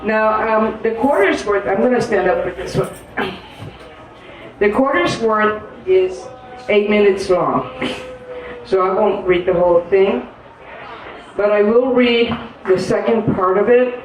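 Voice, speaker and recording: A woman's voice: mid-range voice, far from the mic. Earnest woman poet in an echoey room. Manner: halting, earnest, with frequent pauses and fillers. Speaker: poet and guest. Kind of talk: personal storytelling in a live interview. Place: live stage event in an echoey room.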